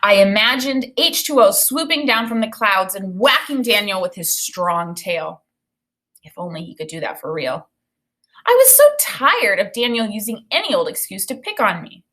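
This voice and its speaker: A woman in her thirties, an elementary teacher, reading a children's novel aloud and explaining it in an expressive way. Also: medium pitch, moderate pace. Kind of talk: read-aloud of a children's novel with think-aloud commentary. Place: small indoor room with bookshelf and whiteboard.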